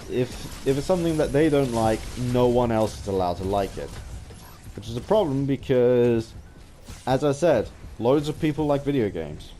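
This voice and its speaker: A man giving an unscripted opinion ramble as a gaming vlogger, halting and often restarting his phrases. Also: mid-range voice, dark tone, slight background noise.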